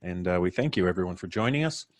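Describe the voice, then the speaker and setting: A man's voice: low voice, lively intonation, fast. A man from Canada hosting a casual live stream, introducing guests in a jokey, showy announcer style.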